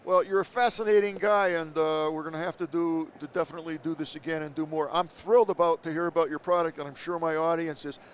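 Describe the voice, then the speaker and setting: An effusive man hosts a live interview from a trade show floor, pitched a little high, jumping in with eager praise. He speaks into a headset, with a faint hum of noise behind him. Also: fairly even intonation. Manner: enthusiastic, effusive, quick to interject. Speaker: show host.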